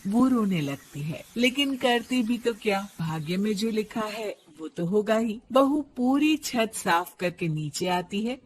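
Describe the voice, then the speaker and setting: A woman's voice: medium pitch, slight background noise. A woman narrating a scripted moral story for a cartoon.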